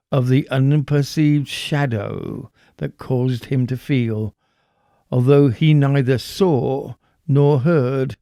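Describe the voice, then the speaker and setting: A man playing a nervous, obsessive murderer confessing in a horror audiobook, with deliberate, theatrical pauses. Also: mid-range voice, vocal fry, warm tone, dry recording, clean studio sound. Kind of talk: dramatic horror audiobook narration.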